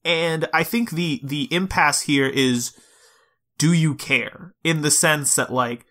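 A man hosting a podcast, casually discussing video games in a measured, explanatory way. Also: a little high, pushed and bright. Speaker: podcast host.

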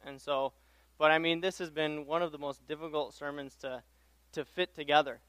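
A young man speaks from the pulpit in a high-pitched, smooth voice, recorded close and dry. His sermon is conversational and self-deprecating, built on personal anecdotes, and he often circles back over his own phrases. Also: steady tone, normal speed, fairly bright tone, no room sound. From Tanzania. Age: young adult. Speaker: pastoral intern preaching as guest speaker. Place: church pulpit.

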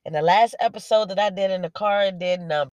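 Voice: mid-range voice, quick pace, a little mellow, no room sound, clean studio sound. A podcast host with a stuffy nose, rambling playfully and swearing freely in a casual solo monologue from inside a parked car at night. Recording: music playing behind the voice.

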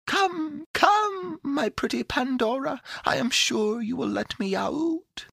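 A man's voice: very high voice, animated, slow pace, gravelly, pushed and bright, dry recording, studio quality, pitched above the performer's own voice, exaggerated voice. A man voicing a tiny, sweet, cheerful female fairy for a storybook, with a coaxing, sing-song manner. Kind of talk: storybook character voice.

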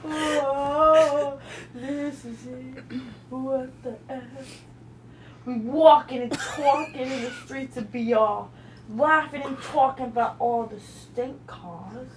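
A woman chanting a slow, singsong intro. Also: high-pitched, slight background noise. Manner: drawn-out, singsong delivery. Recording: distant voice in a roomy space.

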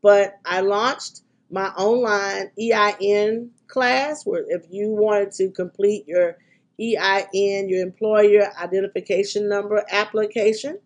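A middle-aged woman hosting a podcast, talking in a chatty, excitable way with frequent ums, her voice congested from a cold. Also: mid-range voice, measured pace. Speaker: podcast and radio host. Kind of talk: casual podcast chat.

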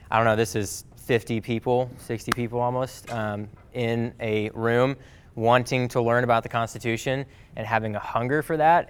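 A young man giving a lively, joking talk to a class in a meeting room. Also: medium pitch, clear, resonant tone. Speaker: speaker.

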